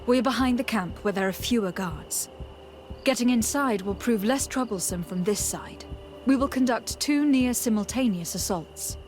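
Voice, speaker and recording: An actress playing a composed noble envoy and leader in a video game, speaking formally and with measured authority. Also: female voice, a little high, a little mellow, soft background music, natural voice. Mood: composed, commanding. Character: noble female envoy, composed young leader giving orders. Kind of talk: scripted video game voice acting.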